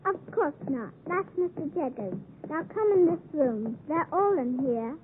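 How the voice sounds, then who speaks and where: A proud, spiteful young girl from a 1950s radio play. She is high-pitched, clipped and disdainful. The recording is narrow and muffled with faint noise. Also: big pitch swings, a little slow. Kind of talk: 1950s radio drama acting.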